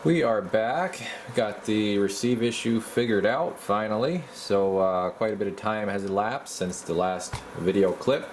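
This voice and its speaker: A man giving a casual, hands-on repair explanation as a video host, in a halting, folksy way, as if thinking aloud. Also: fairly low pitch, a little hiss or hum.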